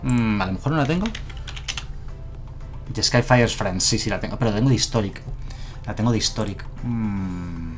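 Man, Madrid Spanish accent, fairly low voice, live card-game stream, headset mic, relaxed thinking-aloud chatter. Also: normal speed, long pauses, soft background music. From Madrid, Spain. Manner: casual, thinking aloud, self-deprecating chuckles. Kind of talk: live gameplay commentary. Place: home streaming room, indoors.

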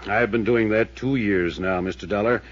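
A weary, husky small-town mayor. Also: male voice, on the low side, dry recording. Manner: measured, earnest, tired. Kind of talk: 1950s radio drama acting. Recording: dull old radio recording, dry.

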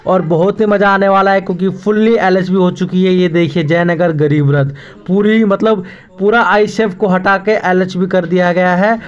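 A man's voice: sits high, steady tone, normal speed, smooth. A man in his twenties talking casually to viewers as a travel vlogger, explaining things as he goes. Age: 20s. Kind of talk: casual Hindi vlog narration to viewers. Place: outdoors, railway platforms and inside trains. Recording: muffled phone audio, loud station and vehicle noise.

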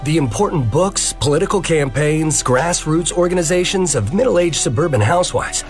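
A man's voice: a little high, lightly acted. A man narrating a scripted comic-book history in an expository, emphatic, dramatized way. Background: music.

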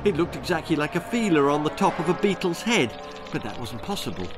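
A man's voice: a little high, big pitch swings, brisk. An older man reading a grisly comic children's story in a theatrical, suspenseful manner. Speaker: author reading his own story. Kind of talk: dramatic children's horror-comedy storytelling. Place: book-lined room indoors. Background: music.

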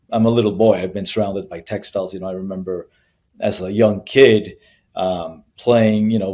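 A man in his thirties from Colombia talks over a video call about his background. His voice sits low and sounds soft and mellow, and he speaks thoughtfully, with frequent ums and restarts. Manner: thoughtful, many fillers and restarts. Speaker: guest, brand founder. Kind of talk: conversational interview answers. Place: small room with bare wall.

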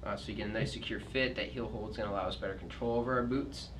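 A man's voice: on the low side, racing, background noise. Man explaining ski boots in a shop. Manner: steady explanatory with frequent ums and uhs. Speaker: ski shop product reviewer. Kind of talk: unscripted product demonstration. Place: ski shop with boots and skis on the walls, indoors. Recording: distant mic with steady shop ambience.

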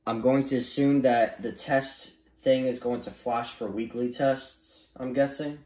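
A man giving a casual, unscripted review in a halting, repetitive and emphatic way, recorded in a room at home. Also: mid-range voice, fairly even intonation, mellow. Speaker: vlogger reviewing gadgets. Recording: roomy, echoey home recording.

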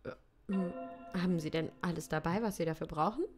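A woman playing a friendly, hesitant young start-up worker in an improvised radio play, with halting, polite delivery. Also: on the low side, music in the background, lightly acted. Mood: flattered, hesitant. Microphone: close studio microphone on boom arm.

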